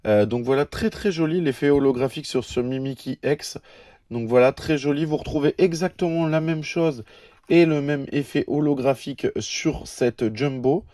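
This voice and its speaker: A man's voice: a little high, normal speed, no echo, clean studio sound. Man with a cold hosting an unboxing. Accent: French. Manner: hesitant, frequent filler sounds; lists cards in a steady patter. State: has a cold. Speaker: YouTube channel host. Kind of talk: casual card unboxing commentary.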